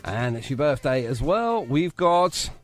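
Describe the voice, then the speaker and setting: A man's voice: mid-range voice, very animated, measured pace, over music. A man hosting a live radio show in a chatty, jokey way.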